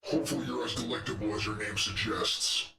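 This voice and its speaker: Man acting as a sadistic robot game villain, low-pitched, sharp forceful tone, smug, taunting, condescending. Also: fairly quick, far from the mic, faint music behind. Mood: contemptuous. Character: menacing arrogant male robot henchman, fiery and sadistic. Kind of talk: video game villain voice lines. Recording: echoey room, off the microphone.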